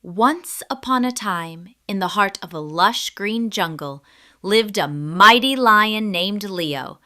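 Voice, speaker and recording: A woman storyteller reading a scripted children's story in an expressive, deliberate way. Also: mid-range voice.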